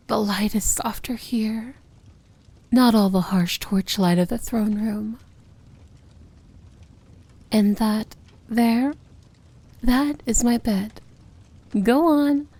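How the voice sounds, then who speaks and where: A woman playing a seductive, tender yet commanding evil princess in an intimate fantasy ASMR roleplay, speaking softly and often whispering. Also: a little high, no echo, exaggerated voice. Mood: inviting, playful. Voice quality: soft, breathy, often whispered. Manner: slow, intimate, gently commanding.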